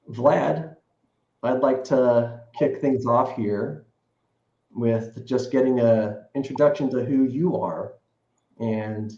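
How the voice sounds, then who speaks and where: A man's voice: medium pitch, warm tone. A man hosting a live-stream interview, friendly, with casual fillers. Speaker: host. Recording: dull echoey room sound.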